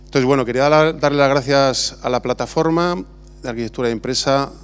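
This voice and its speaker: A man's voice: mid-range voice, brisk, clear, resonant tone. A middle-aged man from Spain, an architect, talking informally at an awards ceremony with many restarts and fillers. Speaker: architect giving an award acceptance talk. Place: large exhibition hall.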